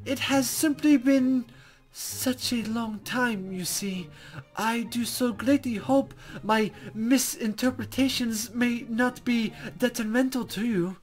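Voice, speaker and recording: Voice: medium pitch, takes it slowly, voice pushed up, close to an everyday voice. A performer voicing a playful, flustered young woman guide from a fantasy visual novel, with stammering, formal, ornate speech. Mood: apologetic. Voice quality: put-on, wavering accent.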